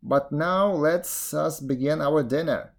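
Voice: medium pitch, slow pace, vocal fry, pitched above the performer's own voice. A storyteller voicing a fierce, hungry male tiger with a scornful, commanding, growling manner in a folktale. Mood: menacing.

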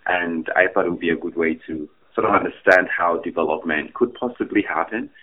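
Academic guest from Ghana, male, deep, halting with fillers, remote call sound. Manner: thoughtful, hesitant with fillers and restarts. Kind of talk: podcast interview answers. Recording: tinny phone-like remote line.

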